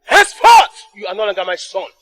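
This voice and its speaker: An actor playing an outraged, commanding king who shouts orders and disowns his son. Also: extremely high pitch, expressive, moderate pace. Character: furious proud male king, commanding.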